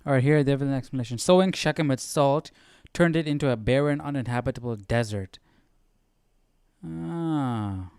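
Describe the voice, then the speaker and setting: A man's voice: medium pitch, moderate pace, darkish tone, clear, resonant tone, dry recording, clean studio sound. A man hosting a Bible study livestream, thinking aloud in a casual, conversational way and reacting as he goes.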